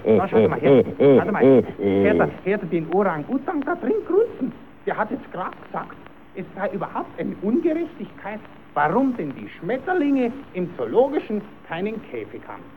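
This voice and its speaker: Casting: cheeky puppet clown, stammering tale-spinner with very lively pitch swings, thin hissy old recording. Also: moderate pace. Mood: storytelling. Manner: stammering, repeating himself, improvising tall tales. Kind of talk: German radio play acting for children. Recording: tinny, narrowband, hissy old radio recording.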